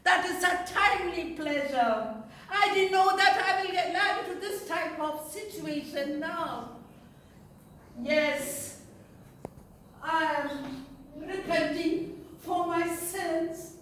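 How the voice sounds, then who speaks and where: A woman performing a lonely, repentant outcast woman in an emotional, theatrical monologue with emphatic pauses. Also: very high voice, fairly bright tone, exaggerated voice. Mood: anguished. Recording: echoey room, distant mic, faint noise.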